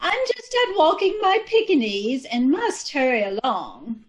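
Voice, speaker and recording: A woman's voice: high voice, sing-song, a little slow. Woman as genteel radio-comedy matron, affected and polite, with swooping intonation. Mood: politely aloof. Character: genteel society matron walking her Pekingese. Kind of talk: old-time radio comedy acting.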